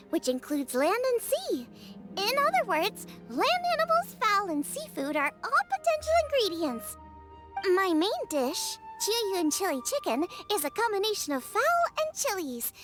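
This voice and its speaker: Very high-pitched, bubbly girl chef. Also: exaggerated voice. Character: cheerful, encouraging, earnest young girl chef. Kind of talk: English video game voice acting. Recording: music behind the voice.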